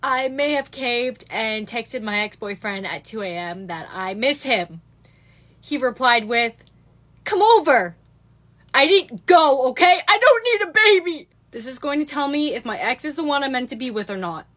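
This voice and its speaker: A nervous, high-pitched teenage schoolgirl, acted by a woman. The delivery is melodramatic, built for a comedic skit, and sounds easily panicked. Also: normal speed, a bit bright, natural voice. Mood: confiding.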